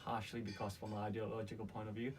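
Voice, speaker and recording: A man from Malaysia speaking as a guest in a casual interview, earnest and halting in his delivery. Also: fairly low pitch, little pitch movement. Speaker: interview guest, company founder. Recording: distant mic, faint background noise.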